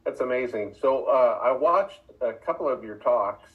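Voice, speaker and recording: A man's voice: mid-range voice, lively intonation, normal speed. A man interviewing a guest for a podcast in a casual, conversational way. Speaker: podcast interviewer, ethics center director. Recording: slightly dull, lo-fi audio.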